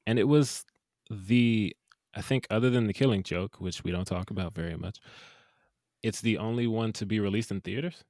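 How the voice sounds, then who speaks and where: A man co-hosting a casual movie review podcast, animated and jokey with quick asides. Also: lowish voice, dry recording, studio quality. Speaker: podcast co-host.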